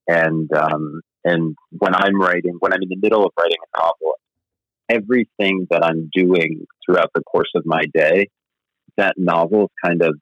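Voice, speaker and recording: A man's voice: low-pitched, no room sound. A man who is a novelist, talking in a casual interview in a rambling, hesitant, self-deprecating way. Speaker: novelist guest. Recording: slightly muffled dry sound.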